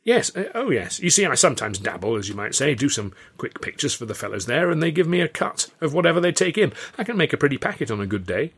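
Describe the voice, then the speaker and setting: Man playing anxious, husky, deferential music-hall comedian. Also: mid-range voice, very animated, fast, few pauses, fairly bright tone, voice pushed up, full character voice. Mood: flustered, bluffing. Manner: hesitant, stammering, eager to please.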